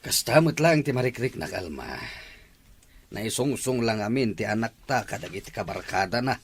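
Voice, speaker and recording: A man's voice: on the low side, expressive, normal speed, husky. A man playing an older uncle and worried father in an Ilocano radio drama.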